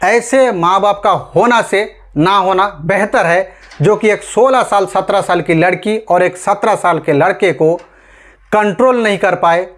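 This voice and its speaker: High-pitched man delivering emphatic news commentary. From India. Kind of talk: scripted news commentary to camera.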